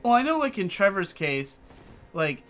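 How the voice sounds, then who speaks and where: Young man, casual co-host chat, rambling, swears, a little high-pitched. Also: big pitch swings, normal speed, a bit bright.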